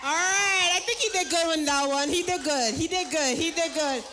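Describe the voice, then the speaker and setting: A woman acting as stage MC, teasing and hyping the crowd in a loud, energetic way. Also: very high-pitched, normal speed, very noisy.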